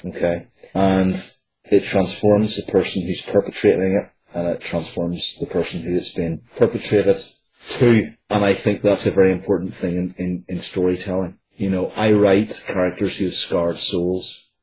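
A man's voice: low voice, normal speed, darkish tone, a little hiss or hum, low-quality recording. A man with a Northern Irish accent talking earnestly and reflectively as a writer being interviewed. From Northern Ireland. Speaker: guest, writer. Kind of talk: conversational interview talk.

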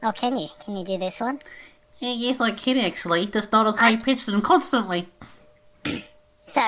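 A man talking through a voice changer that raises his voice to a high, squeaky pitch. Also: normal speed, pushed and bright. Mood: curious.

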